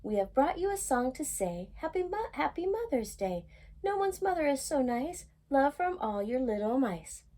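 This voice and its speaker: A woman voicing an eager, tender-hearted young boy mouse in a storybook, earnest and excitable. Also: high-pitched, moderate pace, pitched above the performer's own voice. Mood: excited.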